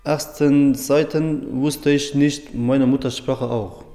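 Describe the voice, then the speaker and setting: A man's voice: mid-range voice, fairly even intonation, slow pace, warm tone. A man in his thirties from Turkey, a native Kurdish speaker, speaking hesitantly with many fillers in an interview indoors. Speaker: amateur actor. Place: room with paintings, indoors. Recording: echoey hall, faint music.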